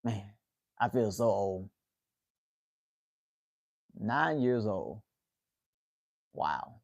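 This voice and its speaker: A man's voice: lowish voice, very animated, extremely slow, vocal fry, no room sound, clean studio sound. A man in his twenties chatting casually with viewers on a livestream, in a laid-back way, tacking "man" onto the ends of his phrases. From United States. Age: 20s. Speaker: livestream host.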